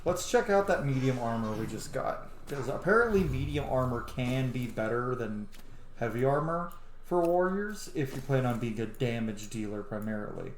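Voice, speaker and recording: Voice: mid-range voice, animated, normal speed. A streamer giving casual gameplay commentary, rambling and thinking aloud. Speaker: streamer playing a role-playing game. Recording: roomy echo, faint background noise.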